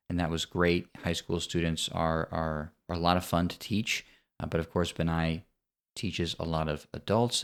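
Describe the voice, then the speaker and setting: A very deep-voiced man from the United States co-hosts a casual podcast. He talks in a measured, articulate way with a dry sense of humor. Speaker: podcast co-host.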